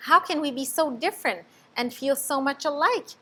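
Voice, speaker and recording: A woman voicing a gentle, musing young bird in a children's storybook reading. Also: sits high, moderate pace, pitched above the performer's own voice.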